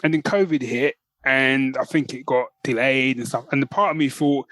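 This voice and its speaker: A man hosting a podcast, chatting and ranting casually in an animated, storytelling way. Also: medium pitch, normal speed, no echo. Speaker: podcast host.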